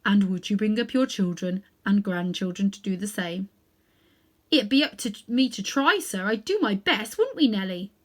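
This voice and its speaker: A woman's voice: mid-range voice, lively intonation, moderate pace, lightly acted. A woman reading aloud and voicing a humble, earnest male cab driver with a London Cockney accent, who speaks in a deferential, folksy way.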